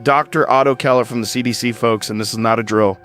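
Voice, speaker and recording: A man's voice: mid-range voice, steady tone, fast talker, fairly bright tone, soft background music, close to an everyday voice. A man playing an alarmed, folksy radio host with an urgent, colloquial way of talking in an audio drama.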